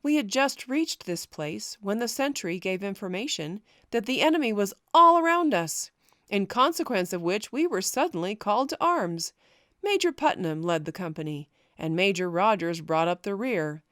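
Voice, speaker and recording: A woman's voice: mid-range voice, lively intonation, moderate pace, a bit bright, no room sound. Woman reading a historical memoir, dry close sound. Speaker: audiobook narrator. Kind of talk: scripted narration of a historical memoir.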